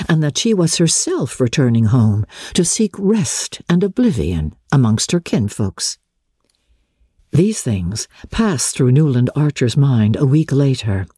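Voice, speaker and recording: A woman narrating classic literature as a dramatic reading, with expressive, measured storytelling. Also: very deep voice, husky, dry recording, clean studio sound. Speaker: audiobook narrator.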